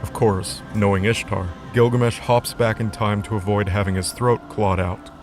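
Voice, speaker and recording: A man's voice: fairly low pitch, steady tone, moderate pace. A man narrating a scripted fantasy story as the narrator of an audio drama. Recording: music under the voice.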